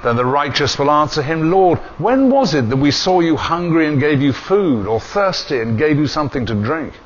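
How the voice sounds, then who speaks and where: An older man, a priest, leading a church service and reading the gospel in a measured, solemn way in a small chapel, recorded from a distant camera. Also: fairly high pitch, sing-song. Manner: measured, solemn liturgical delivery. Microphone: far camera. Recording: dull lo-fi camera audio with faint hiss.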